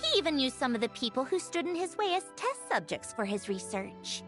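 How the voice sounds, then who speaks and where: A woman's voice: sits high, big pitch swings, brisk, fairly bright tone, faint music behind. An actress voicing a self-assured, clever young woman in a video game, explaining things in a confident, dryly teasing way. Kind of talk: game voice acting.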